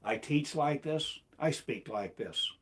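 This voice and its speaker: A man's voice: fairly high pitch, animated, takes it slowly, a bit bright. A man delivering a rambling, self-recorded sermon, asking one repetitive, incantatory rhetorical question after another. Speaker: self-styled preacher.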